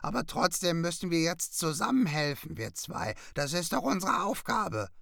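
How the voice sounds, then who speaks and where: A man voicing a scruffy, cheeky male raven with a rasping, croaky voice and chummy, pleading slang. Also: fairly high pitch, very animated, moderate pace, a bit bright, dry recording, studio quality, voice pushed up, heavily acted.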